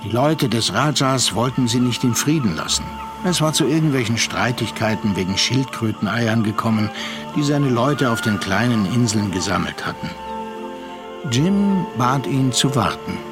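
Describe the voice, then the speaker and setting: An actor playing a reflective, worldly gentleman narrator in a literary radio drama, speaking measured standard German. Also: mid-range voice, lively intonation, music in the background, lightly acted. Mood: contemplative. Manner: measured, contemplative, deliberate phrasing.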